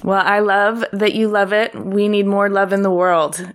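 A woman hosting a podcast, introducing and interviewing in a prepared, clearly structured way. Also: medium pitch, flat delivery, moderate pace. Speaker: podcast host.

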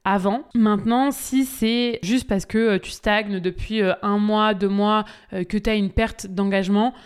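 Chatty young woman with a smooth voice. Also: medium pitch, fairly even intonation, measured pace. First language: French. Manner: chatty, direct, many fillers, talks straight to listeners. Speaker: podcast host, social media business coach. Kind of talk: casual solo podcast, spontaneous answers.

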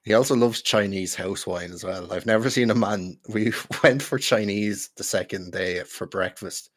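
Irish male podcast host, lower voice, blunt sweary storyteller, close dry sound. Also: moderate pace, dry recording. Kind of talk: casual chat with friends.

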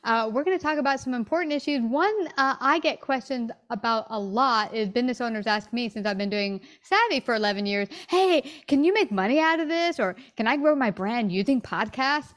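A woman's voice: on the high side, expressive, fairly quick, few pauses. A woman hosting a business podcast, welcoming her guest with enthusiasm. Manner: warm, enthusiastic, with fillers. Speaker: podcast host. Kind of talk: casual interview chat.

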